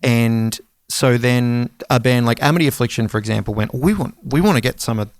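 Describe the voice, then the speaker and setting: A man's voice: mid-range voice, brisk, no echo, studio quality. A man in his thirties co-hosting a podcast in casual conversation, rambling along and swearing casually. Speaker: podcast co-host. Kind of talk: casual music podcast chat.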